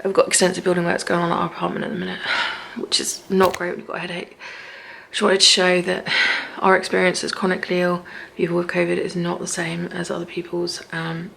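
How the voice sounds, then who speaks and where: Woman vlogger, worn-out delivery, heavy vocal fry, narrow monotone pitch. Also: a little hiss or hum. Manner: weary, low-energy talk. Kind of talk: personal video diary. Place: apartment at home.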